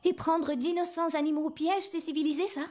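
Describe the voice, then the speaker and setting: An actress playing a spirited, defiant young daughter in a cartoon, with a sarcastic edge. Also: female voice, extremely high pitch, fairly even intonation, brisk, darkish tone. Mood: defiant, indignant. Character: defiant young daughter who loves nature. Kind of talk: dubbed cartoon acting.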